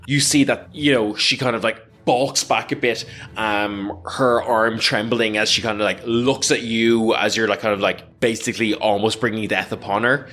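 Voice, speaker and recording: A man's voice: lowish voice, steady tone, fairly bright tone. Man improvising as a tabletop game master. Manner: halting, filler-heavy descriptive improvising. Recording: background music under the voice.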